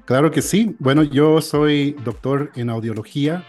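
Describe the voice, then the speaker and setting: American man with a soft, dark voice. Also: a little high, faint music behind. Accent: American English. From United States. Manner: halting, frequent restarts and fillers. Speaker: audiologist guest. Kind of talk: interview, explaining.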